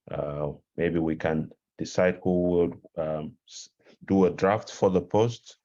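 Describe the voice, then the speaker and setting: Man, deep voice, unhurried pace, halting explanatory delivery, video call presenter. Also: dark tone, muffled recording. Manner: halting, searching for words. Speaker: presenter of a learning module. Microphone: headset on a video call.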